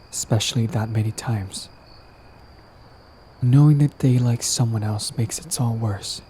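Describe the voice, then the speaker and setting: A man's voice: on the low side, warm tone, slight background noise. A man playing a lovestruck, persistent villain in an intimate romantic audio roleplay, talking casually and coaxingly to the listener, smug yet earnest. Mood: tender, sympathetic.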